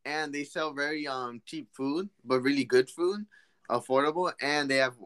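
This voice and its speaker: Young man, twenties, bright voice, fairly high, rambling, excitable, casual podcast chat. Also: normal speed, clean tone. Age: 20s. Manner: rambling, restarts a lot, excitable. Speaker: podcast co-host.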